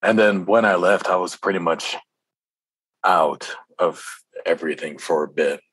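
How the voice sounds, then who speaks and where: A middle-aged man in casual interview conversation, speaking as a guest with halting, laid-back, slangy phrasing. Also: sits low, a bit bright, slightly rough, no echo, rough recording. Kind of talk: casual chat.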